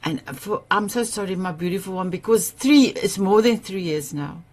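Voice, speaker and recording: A woman's voice: medium pitch, moderate pace, a little mellow. A woman whose first language is Afrikaans, hosting an online summit. She talks in an effusive way, hunts for words and repeats her phrases. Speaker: summit host. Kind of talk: warm livestream summit hosting.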